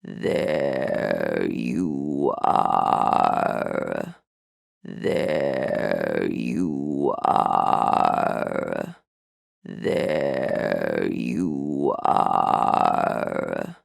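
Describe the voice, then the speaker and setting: An ominous sci-fi game presence with a profoundly low voice. It grinds with heavy fry and moves extremely slowly. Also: male voice, very animated, no room sound, clean studio sound, exaggerated voice. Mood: menacing. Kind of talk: video game character acting.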